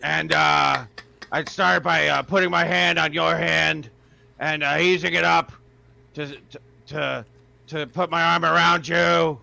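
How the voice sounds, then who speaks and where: A man playing a gruff old suitor with a gravelly voice, courting a woman. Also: on the high side, steady tone, a little slow, bright, forceful tone, a little hiss or hum, heavily acted. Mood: smarmy. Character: gruff old male suitor named Morty.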